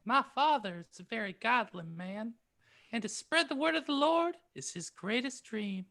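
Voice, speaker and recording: Woman voicing a shy, prim, pious young schoolgirl, soft-spoken, lively pitch. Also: moderate pace. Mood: shy earnest. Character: sweet devout eleven-year-old girl. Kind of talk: reading fan fiction dialogue aloud.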